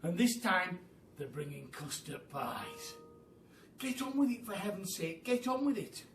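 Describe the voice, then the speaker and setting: A man voicing an excitable monkey father who barks impatient orders in a children's storybook reading. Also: high-pitched, measured pace, faint music behind. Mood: impatient, urging. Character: bossy, frantic monkey father leading a scheme. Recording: roomy, distant mic with faint noise.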